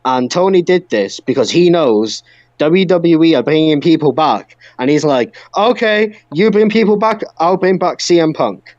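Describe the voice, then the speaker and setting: A man's voice: a little high, moderate pace, a little mellow, no echo, lo-fi sound. A man co-hosting a wrestling podcast, talking in an emphatic, opinionated, ranting way. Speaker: podcast co-host.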